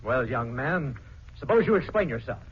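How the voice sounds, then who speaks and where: A man's voice: mid-range voice, sing-song, moderate pace, slight background noise, close to an everyday voice. A man playing a stern, demanding middle-aged father in a 1940s radio drama, on a muffled old recording. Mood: stern, angry.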